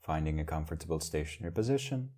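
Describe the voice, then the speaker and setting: A man leading a guided meditation as a teacher, speaking in gentle, measured phrases with long pauses. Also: very deep voice, animated.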